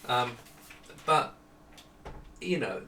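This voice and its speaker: A middle-aged man giving a casual, unscripted product walkthrough in a halting, conversational manner. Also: mid-range voice, animated, pushed and bright. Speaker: product designer presenting his monitors. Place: small home office indoors with studio lighting. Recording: echoey room, distant mic, faint noise.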